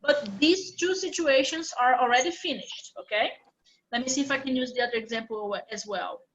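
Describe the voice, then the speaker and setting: A woman's voice: high-pitched, brisk, a bit bright, slight background noise, rough recording. A woman teaching an English grammar class online, explaining patiently step by step.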